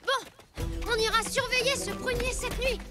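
A woman's voice: very high-pitched, fairly even intonation, normal speed. A woman voicing a plucky, loyal young cave boy in a French cartoon, earnest and emphatic. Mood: earnest, determined. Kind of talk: French animated cartoon dub acting. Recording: music under the dialogue.

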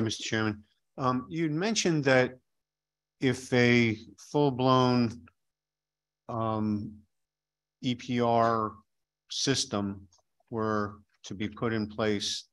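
A man's voice: medium pitch, a bit bright. A middle-aged man questioning a witness at a legislative hearing, probing and skeptical, speaking into a desk microphone in a hearing room.

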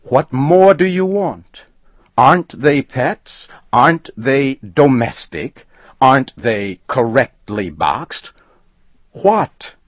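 A man voicing a furious, indignant gentleman customer who shouts and blusters. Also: medium pitch, lively intonation, drawn-out words, few pauses, no echo, voice pushed up, exaggerated voice. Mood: outraged. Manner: blustering, spelling things out angrily.

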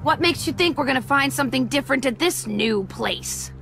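A woman's voice: high-pitched, expressive, brisk, vocal fry, fairly bright tone, soft background music, exaggerated voice. An actress playing a tough, determined young woman, firm and feisty, in a video game cutscene. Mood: questioning.

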